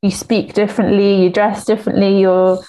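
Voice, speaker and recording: British-ugandan woman, interview host, attentive, supportive, soft laughs, mid pitch. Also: flat delivery, normal speed, poor audio. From Britain and Uganda. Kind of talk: conversational interview.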